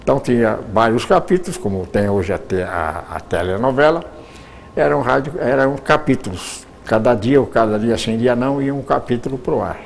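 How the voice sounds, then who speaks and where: An older Brazilian man, a veteran radio actor, telling stories in an unhurried way with pauses and restarts as an interview guest. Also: medium pitch, expressive, vocal fry, a little hiss or hum. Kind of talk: reminiscing interview answers.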